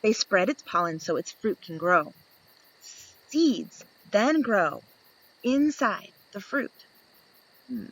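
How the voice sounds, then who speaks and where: A woman reading a picture book aloud to young children, expressive and playful, asking the listener questions as she goes. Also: mid-range voice, takes it slowly, slightly rough, poor audio. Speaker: children's story reader.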